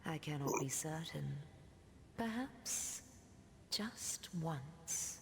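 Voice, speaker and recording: An actress playing an ancient mystical seer in a fantasy game, speaking solemn, cryptic, archaic lines in a breathy voice that often sinks toward a whisper. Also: female voice, deep voice, animated, drawn-out words, heavy background noise, exaggerated voice. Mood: searching, wistful. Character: ancient mystical female seer who weaves threads of time. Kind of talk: scripted fantasy game dialogue. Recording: long cavernous reverb.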